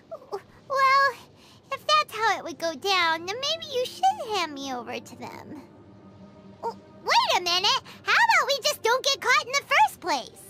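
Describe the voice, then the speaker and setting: A woman voicing a tiny, excitable, childlike fairy girl companion in a video game, with a squeaky voice and a bubbly, easily indignant manner. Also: heavily acted. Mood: flustered, indignant. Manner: bubbly, stammering, speaks of self in third person. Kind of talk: video game character dialogue. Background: background music.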